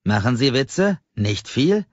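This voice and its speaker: A man's voice: mid-range voice, fairly quick, dry recording, muffled recording, voice pushed up, lightly acted. A man voicing a short, stocky, pale middle-aged stranger who snaps at the listener with indignant contempt.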